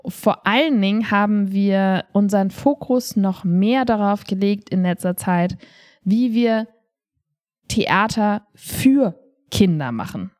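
A woman's voice: medium pitch, slow pace, a little mellow. Woman chatting on a podcast. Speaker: podcast host. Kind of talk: casual podcast chat.

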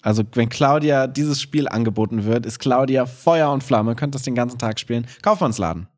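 Ein Mann moderiert einen Podcast mit und erklärt dabei locker und umgangssprachlich. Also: male voice, medium pitch, moderate pace. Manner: informal, explanatory. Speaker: podcast co-host, improv performer. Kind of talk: casual conversational podcast talk.

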